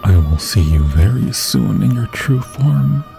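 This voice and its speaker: Obsessive undead lover male actor, low and unhurried, solemn archaic delivery, tender menace, for fantasy roleplay audio. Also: very animated, creaky, mellow, faint music behind, exaggerated voice. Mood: tender, sinister devotion. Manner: theatrical, slow, archaic solemn phrasing. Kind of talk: ASMR fantasy roleplay acting.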